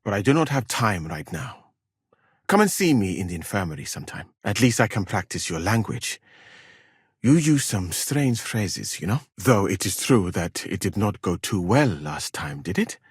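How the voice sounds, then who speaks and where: Husky, courteous medieval healer from Mali. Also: male voice, fairly low pitch, very animated, no room sound, studio quality, heavily acted. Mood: friendly, composed. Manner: courteous, measured, formal, careful wording. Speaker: video game character, physician. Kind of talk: acted video game dialogue, formal phrasing.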